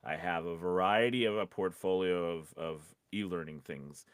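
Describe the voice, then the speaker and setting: A man with a fairly low voice chats as a podcast co-host. He gives advice casually, rambling and circling back to restart his sentences. The recording is close and dry. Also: moderate pace, clean tone, no echo.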